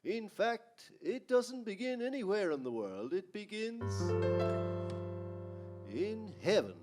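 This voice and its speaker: A man's voice: high-pitched, animated, takes it slowly, over loud music. A man announcing and narrating a scripted old-time radio drama.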